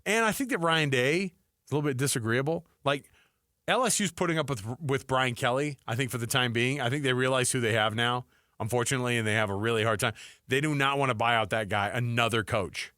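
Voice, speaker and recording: A man's voice: medium pitch, brisk, pushed and bright, no echo, studio quality. A man co-hosting a sports radio show, talking casually and animatedly, acting out what others might say.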